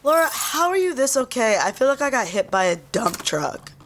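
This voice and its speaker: A woman's voice: high voice, sing-song, moderate pace, fairly bright tone, slight background noise. A woman playing a groggy, hungover young slacker in a sitcom.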